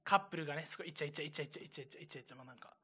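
A man's voice: on the high side, steady tone, very fast, pushed and bright, breathy edge. A man co-hosting a radio show, a voice actor who moves between casual banter and announcements.

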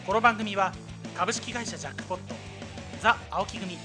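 A man's voice: high voice, brisk, music in the background. A man co-hosting a radio show, a voice actor who moves between casual banter and announcements.

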